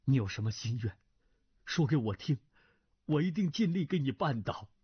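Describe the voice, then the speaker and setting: Sorrowful middle-aged nobleman, acted by a male storyteller, low and heavy, raspy breathy tone, dry dull sound. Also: expressive, normal speed, no echo. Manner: low, soft and heavy with regret. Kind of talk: storytelling, reading a martial arts novel. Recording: dry, slightly dull lo-fi recording.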